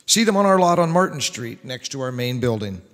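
A man's voice: medium pitch, big pitch swings, brisk. A middle-aged man doing scripted old-time radio announcing as master of ceremonies. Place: live stage in a church-like hall. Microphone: stand microphone. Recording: reverberant hall.

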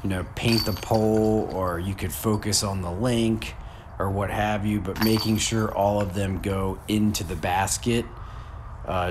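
A man in his forties giving a relaxed, unhurried disc golf lesson with casual fillers. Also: low voice, noisy background. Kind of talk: casual instructional voice-over. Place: outdoor field.

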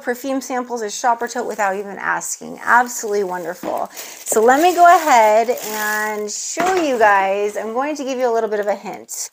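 A woman's voice: a little high, creaky. A woman in her thirties gushing to viewers as she unboxes handbags in a casual vlog, on a lapel mic indoors. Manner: gushing, enthusiastic, addresses viewers as "you guys". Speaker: luxury handbag vlogger. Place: indoor room with handbag shelves. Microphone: clip-on lapel mic.

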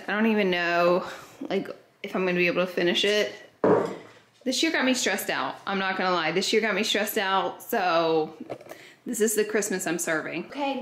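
A woman's voice: mid-range voice, pushed and bright, slight background noise. Bubbly chatty woman in an echoey home. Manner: bubbly, chatty, talks straight to viewers. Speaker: lifestyle vlogger. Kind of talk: chatty clean-with-me vlog. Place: bright open-plan home, living room and kitchen. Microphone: camera mic, filmed at a distance while moving around. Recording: roomy echo from a large room.

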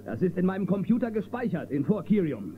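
An actor playing an upright, steady space-western marshal in a radio play, earnest and firm. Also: male voice, high voice, a little fast. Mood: firm, reassuring. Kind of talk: German radio play acting. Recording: tinny, noisy old cassette copy.

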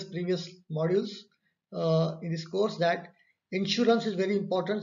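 A man's voice: high-pitched, darkish tone. A man, lecturing in an online course and repeating key phrases for emphasis. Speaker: instructor. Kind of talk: online course lecture walking through slides. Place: indoor room, webcam overlay on slides. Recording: slightly dull lo-fi recording.

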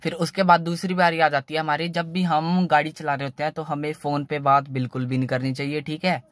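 A man's voice: on the high side, level tone, fairly bright tone, no echo, clean studio sound. Young man with a lilt, chatting loosely. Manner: loose, repetitive, fills every pause with "theek hai". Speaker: car channel host. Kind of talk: casual how-to talk in a parked car. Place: inside a parked small car.

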